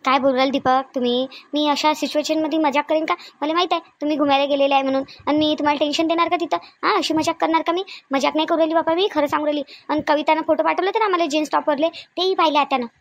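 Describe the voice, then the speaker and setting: Woman playing a fretful, high-voiced Varhadi sister-in-law. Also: fairly even intonation, rapid-fire, hardly any pauses, dry recording. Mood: anxious. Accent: Varhadi Marathi of Vidarbha. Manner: fretful, urgent, explaining at length. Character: anxious, gossipy young sister-in-law fretting over family. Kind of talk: amateur family comedy-drama dialogue.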